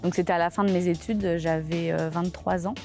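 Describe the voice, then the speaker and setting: A woman's voice: fairly low pitch, steady tone, fairly quick, music in the background. A young woman from France with a French accent, telling her story in a casual interview with many fillers and small laughs. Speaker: interviewee, graphic designer.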